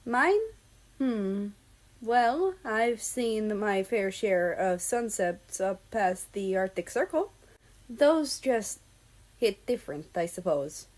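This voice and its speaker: A woman's voice: mid-range voice, animated, slow. Woman doing a laid back teasing guy on a trip, thoughtful, storybook dialogue. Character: easygoing, teasing male travel companion.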